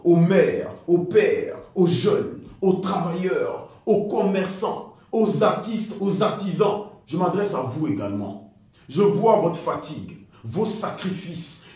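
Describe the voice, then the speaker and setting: A man delivering a fiery political speech in a forceful, declamatory way with emphatic repetition. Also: on the high side. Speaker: political activist. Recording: very echoey, reverberant sound.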